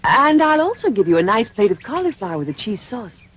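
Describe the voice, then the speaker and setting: A woman's voice: on the high side, big pitch swings, fairly quick, slightly rough. A woman voicing a kindly, motherly lady in a dubbed cartoon, generous and persuasive in manner. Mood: coaxing. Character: kindly, coaxing motherly lady offering food.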